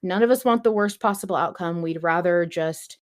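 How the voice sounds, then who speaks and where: A woman's voice: fairly low pitch, moderate pace. A woman hosting a podcast, talking casually in a chatty, explanatory way with some emphasis. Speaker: podcast host.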